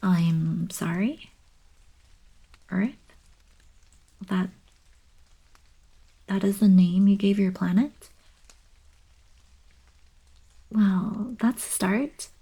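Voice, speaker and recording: A young woman playing a friendly, eager alien princess in an ASMR roleplay, soft and whispery, with casual girlish coaxing. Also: mid-range voice, very slow, long pauses, breathy edge, full character voice, low-quality recording. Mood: soft, apologetic. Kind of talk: ASMR roleplay, intimate acted monologue.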